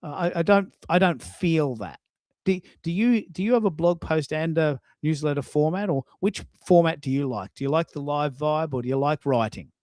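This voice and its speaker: A man's voice: a little high, normal speed, a little mellow, no room sound, studio quality. A man hosting a casual live audio discussion, talking in an effusive, storytelling way with emphatic asides. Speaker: host of a live social audio show.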